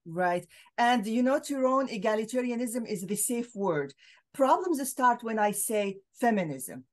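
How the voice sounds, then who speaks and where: A woman's voice: on the high side, lively intonation, a little slow. A middle-aged woman from Syria, a scholar speaking as a guest on a video call, explaining her views earnestly with frequent fillers. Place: home room with bookshelves.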